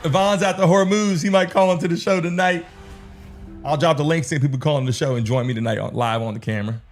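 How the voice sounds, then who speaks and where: A man's voice: fairly high pitch, quick pace. Slangy man hosting a casual livestream. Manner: slangy, cursing, repeats phrases. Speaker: sports livestream host. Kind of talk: casual livestream chat with viewers.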